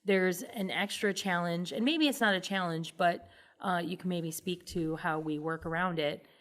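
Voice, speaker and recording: A woman's voice: lowish voice, normal speed. A woman hosting a conversational interview, agreeing in short affirmations and rephrasing points as she asks questions. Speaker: interview host.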